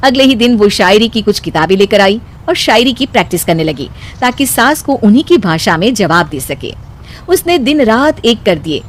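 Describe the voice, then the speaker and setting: A woman's voice: mid-range voice, sing-song, moderate pace, a little hiss or hum. A woman narrator reading a scripted domestic comic story.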